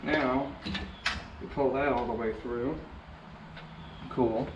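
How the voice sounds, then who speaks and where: A man's voice: mid-range voice, fairly even intonation, slow pace, background noise. Guy chatting through a jeep job, roomy echo, kinda far from the mic, muffled. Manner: laid-back, talks to himself while working. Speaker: DIY vlogger. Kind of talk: casual how-to narration while working. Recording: roomy, distant, dull camera audio.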